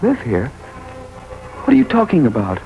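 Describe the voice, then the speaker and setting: A man's voice: mid-range voice, huge pitch swings, slow, gravelly, soft background music, heavily acted. Wildly swooping, befuddled male drinker. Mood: dazed, confused. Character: hard-drinking, pleading young man, drunk and befuddled. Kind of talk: 1940s radio drama acting. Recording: muffled, noisy 1940s radio recording.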